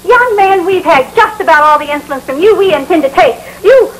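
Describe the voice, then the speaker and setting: An actress playing an easily offended, haughty housewife who complains indignantly in an old training film. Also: female voice, very high voice, expressive, a little fast. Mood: huffy, angry. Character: huffy, easily offended housewife, new in town. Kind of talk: vintage training film acting. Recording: noisy old film soundtrack.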